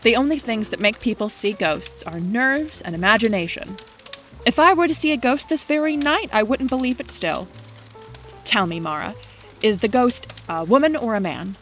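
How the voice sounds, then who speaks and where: A woman acting in an audio drama as a teasing, stubborn young skeptic with a brisk, argumentative manner. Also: on the high side, lively intonation, a bit bright, faint music behind. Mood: scornful, teasing.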